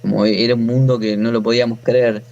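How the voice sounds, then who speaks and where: A man's voice: mid-range voice, little pitch movement, fast talker, creaky, darkish tone, highs cut off. A man in his forties with a Buenos Aires accent, telling his own story informally as a guest, often breaking off and rephrasing. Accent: Argentine, from Buenos Aires. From Buenos Aires, Argentina. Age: 40s. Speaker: interview guest, natural farmer.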